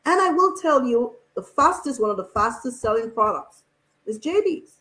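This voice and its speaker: A woman guest on a radio panel who runs an online shop, speaking with enthusiasm and many halting restarts. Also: fairly high pitch, lively intonation, fairly bright tone, rough recording.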